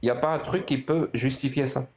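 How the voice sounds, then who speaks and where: A man speaks as the host of a live religious debate. He is methodical and persistent, circling back to his questions and restating them. His pitch sits in an ordinary middle range. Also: fairly even intonation, fast talker, a little mellow. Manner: insistent, methodical questioning with repetition.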